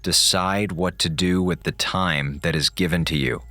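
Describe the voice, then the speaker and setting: A man's voice: deep voice, fairly even intonation, moderate pace, bright, forceful tone, no room sound. A man in an audio drama reading out ominous, cryptic coded messages in a portentous way.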